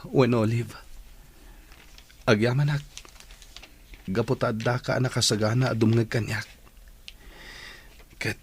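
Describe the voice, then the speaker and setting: A man plays a young student nursing heartbreak in a radio drama. He speaks in an earnest, plaintive way at a middling male pitch. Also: a little hiss or hum, natural voice. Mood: resigned, determined.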